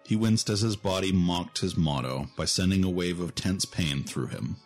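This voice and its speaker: A man's voice: low-pitched, animated, moderate pace. A man narrating a scripted fantasy story as the narrator of an audio drama. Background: music.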